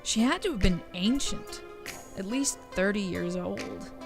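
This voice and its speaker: A woman's voice: mid-range voice, expressive, a little slow, vocal fry. A woman narrator reading a scripted audio story. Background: music.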